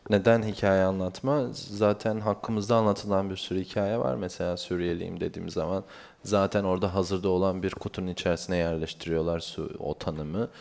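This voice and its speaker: Casting: deep-voiced male interpreter, halting delivery, lots of drawn-out fillers. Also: fairly even intonation, vocal fry, darkish tone. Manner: hesitant, many drawn-out fillers. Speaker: interpreter and coordinator. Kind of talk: consecutive interpreting at a panel.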